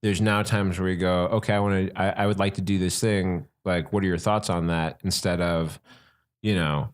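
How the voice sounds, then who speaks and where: A man with a fairly low voice talks through his ideas on a podcast. He is earnest and thorough, and he keeps slipping into quick voiced asides for the people he describes. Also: fairly even intonation. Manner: earnest, explains at length, acts out imagined people's thoughts. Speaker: guest, stand-up comic. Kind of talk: casual podcast conversation.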